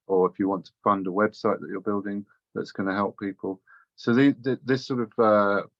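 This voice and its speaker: A man explaining a community funding idea on a video call, halting with many fillers and restarts. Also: fairly low pitch, dry recording, muffled recording. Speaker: community project co-founder. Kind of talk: casual video call chat.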